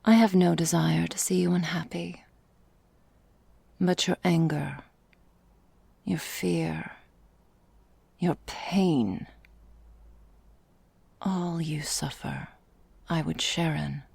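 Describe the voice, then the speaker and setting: An ancient vampire mistress, acted by a woman, murmurs an intimate ASMR monologue in a dark, low, half-whispered voice. She is possessive and quietly menacing, with archaic, formal phrasing. The sound is breathy and very close and dry. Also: fairly even intonation, slow, long pauses, dry recording, heavily acted. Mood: solemn, coaxing.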